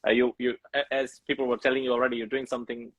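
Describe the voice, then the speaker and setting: A podcast host, a man with a middle-pitched voice, who talks in halting bursts full of restarts and fillers. He keeps heaping thanks and praise on his guest. His voice sounds close and dry, with no room around it. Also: level tone, no echo, muffled recording. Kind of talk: casual interview chat.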